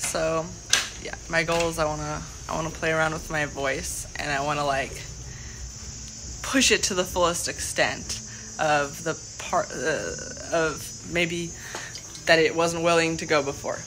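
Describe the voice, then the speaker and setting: Slow-paced young woman vlogging over background noise. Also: sits low, fairly even intonation. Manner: rambling, halting, often trailing off. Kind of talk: casual chat to camera. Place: various spots on the go in Bali. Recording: noisy ambient phone-style vlog recording.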